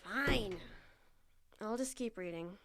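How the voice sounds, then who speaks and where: A woman's voice: a little high, expressive, slow, fairly bright tone. An actress playing a suspicious, insistent woman in a radio drama, speaking conversationally with pressing emphasis. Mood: pressing, casual. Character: suspicious, insistent woman digging into a murder case.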